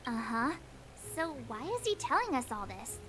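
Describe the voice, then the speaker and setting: A woman's voice: fairly high pitch, very animated, sharp tone, faint music behind. An actress playing a bubbly, curious young female companion with a chirpy, playful manner in a game cutscene. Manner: chirpy, playful, drawn-out questions.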